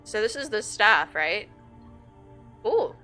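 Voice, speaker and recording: A woman's voice: mid-range voice, big pitch swings, slow pace, pushed and bright, soft background music. A young woman streaming a game while sick, sniffling, chatty and upbeat, thinking aloud and laughing often. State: sick with a stuffy nose, sniffling. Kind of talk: casual gaming livestream chat.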